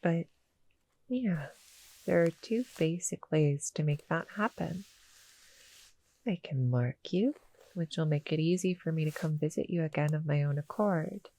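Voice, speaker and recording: A woman voicing a small, sweet female cuddle monster in an intimate ASMR roleplay, soft and breathy, often near a whisper, gentle and a little hesitant. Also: sits low, a little slow, long pauses, poor audio. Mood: hesitant, explaining. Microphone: close, dry studio-style mic.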